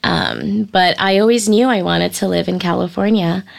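A woman's voice: lowish voice, steady tone, normal speed, gravelly. A woman with a New York accent, telling anecdotes as a guest in casual conversation. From New York City, born in the Bronx, raised in Queens. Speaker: podcast guest.

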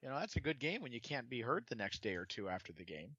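A man's voice: medium pitch, big pitch swings, fast talker, bright, forceful tone, breathy edge, dry recording, dull audio. Rambling, exasperated man on a podcast. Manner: rambling, exasperated, repeats words. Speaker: podcast co-host. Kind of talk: casual sports chat.

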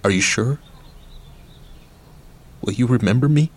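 An actor playing a weary, tender middle-aged uncle haunted by prison in a radio play, confiding haltingly and wistfully. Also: male voice, mid-range voice, natural voice. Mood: sorrowful, afraid.

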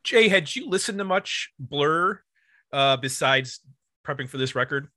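Casting: male podcast co-host, bright tone, dry sound, rambling casual delivery full of "like". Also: fairly high pitch, lively intonation, measured pace, no room sound. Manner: rambling, uses 'like' constantly. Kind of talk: casual music discussion.

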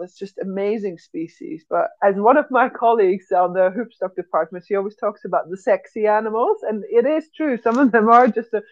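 Chatty European woman, slightly dull audio. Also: mid-range voice. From Netherlands and Switzerland. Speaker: zookeeper, podcast guest. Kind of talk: casual anecdotal interview talk.

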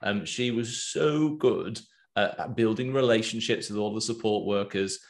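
English-accented male host, low voice, animated and talkative. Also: moderate pace, vocal fry. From England. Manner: animated, talkative, loose with fillers. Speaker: podcast host and business coach. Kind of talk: casual business chat.

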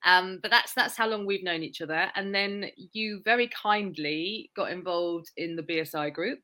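Woman podcast host, bright tone, lowish pitch, chatty and self-deprecating. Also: moderate pace. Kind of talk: casual interview chat.

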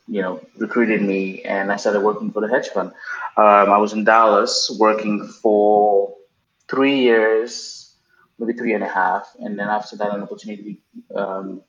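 A man in his thirties from Cameroon, a podcast guest telling his story casually, with many ums and restarts. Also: on the low side. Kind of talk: casual interview answers. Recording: roomy, dull remote recording with faint noise.

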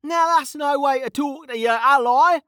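A narrator voicing a hot-headed young male fire mage in a fantasy audiobook, indignant and protesting, pitched far up. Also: unhurried, bright, forceful tone, no echo, clean studio sound. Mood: excited, cheeky.

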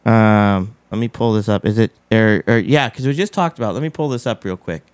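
A man hosting a casual live show, giving emphatic commentary on politics and economics with repeated phrases and a squeaky voice. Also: a little fast, no room sound.

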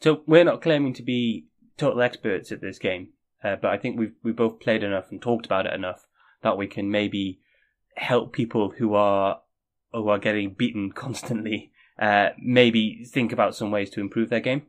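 A man co-hosting a casual podcast chat, friendly and a little halting, often restarting his sentences. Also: on the low side. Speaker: podcast co-host.

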